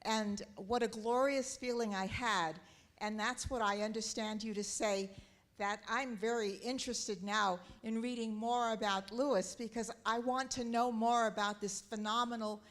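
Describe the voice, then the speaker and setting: A woman from Southern California with a loud voice, sharing a personal reflection from the audience at a church talk, earnest and halting as she searches for words. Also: a little high, fairly even intonation. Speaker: audience member. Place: church auditorium.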